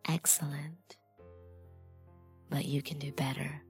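A woman with a breathy voice that often slips into whispering, delivering scripted adult hypnosis audio in a dominant, deliberate, commanding manner. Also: deep voice, flat delivery, music in the background. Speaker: erotic hypnosis performer.

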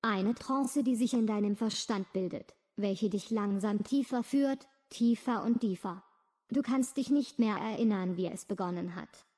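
A woman reading a slow, soothing, repetitive guided hypnosis script. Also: medium pitch, mellow. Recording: long reverb.

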